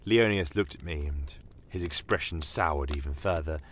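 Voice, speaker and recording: A man's voice: a bass voice, normal speed. A man reading scripted first-person dramatic narration for a fantasy story podcast.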